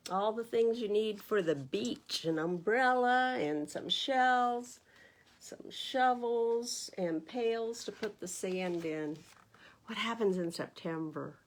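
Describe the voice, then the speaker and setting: Slow-paced American woman reading at storytime. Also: medium pitch. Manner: animated teacherly asides, asking the listeners questions. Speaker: library storytime host reading a picture book. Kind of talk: read-aloud storytime for young children.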